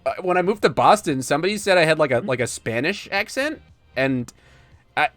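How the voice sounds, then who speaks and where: A man's voice: on the high side, animated, a little fast, fairly bright tone, no echo. A man in his thirties from Florida hosting a podcast, talking casually and rambling with lots of fillers and laughs. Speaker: podcast host. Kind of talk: casual chat.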